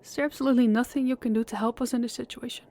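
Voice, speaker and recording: A young woman playing a worn-out, loving girlfriend who talks softly and hesitantly, often stumbling over her words. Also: on the high side, fairly even intonation, very fast, natural voice. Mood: pleading. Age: young adult. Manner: soft, hesitant, stammering restarts. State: exhausted. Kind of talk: audio roleplay acting.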